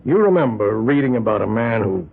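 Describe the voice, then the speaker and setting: A gravelly, agitated rich older vigilante. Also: male voice, mid-range voice, lively intonation. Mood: indignant. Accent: American. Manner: halting, emphatic, self-justifying. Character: agitated wealthy older man leading a vigilante committee. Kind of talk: old-time radio drama acting. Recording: muffled, narrow-band old radio recording.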